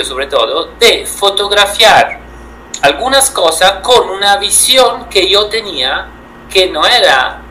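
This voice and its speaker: A man's voice: fairly high pitch, bright, forceful tone, slight background noise, poor audio. A man in his thirties from Italy, speaking Spanish with an Italian accent, answering interview questions thoughtfully with frequent hesitations. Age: 30s. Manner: thoughtful, hesitant, many fillers and restarts. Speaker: guest photographer.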